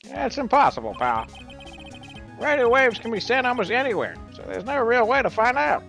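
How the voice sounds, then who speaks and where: A performer voicing a scruffy, eager, bumbling male police detective with a folksy, excitable manner in a live game fan dub. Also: medium pitch, a little fast, vocal fry. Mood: puzzled. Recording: music behind the voice.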